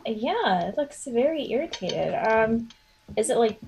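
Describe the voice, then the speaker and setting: A woman's voice: a little high, big pitch swings, unhurried, vocal fry, a little mellow. A woman playing a tabletop roleplaying game, chatting casually and hesitantly as she thinks aloud.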